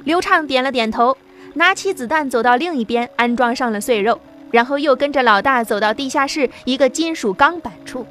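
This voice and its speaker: A woman giving scripted audiobook narration with an even storytelling delivery. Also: sits high, fairly quick, soft background music.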